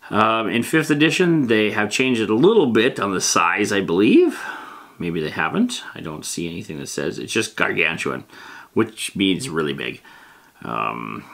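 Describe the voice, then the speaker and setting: A man's voice: lowish voice, fairly bright tone. A man hosting a casual, rambling explainer on tabletop game monsters, reading out rules and tossing in informal, emphatic asides.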